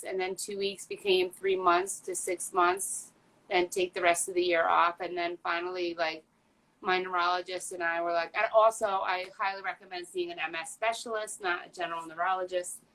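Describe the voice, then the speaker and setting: A woman's voice: on the low side, gravelly, fairly bright tone, low-quality recording. A woman in her fifties talking candidly as an interview guest, earnest and chatty, with frequent fillers and restarts. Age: 50s. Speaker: patient advocate guest.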